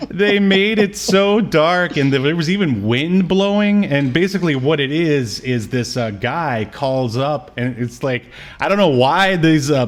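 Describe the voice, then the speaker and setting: A man co-hosting a show, chatting casually about cartoons in a halting, conversational way. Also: fairly high pitch.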